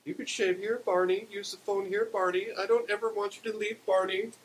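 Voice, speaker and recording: Man putting on a shrill, needy woman neighbour. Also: flat delivery, brisk, natural voice. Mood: eager, pleading. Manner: pleading and exclaiming. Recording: clear background hiss.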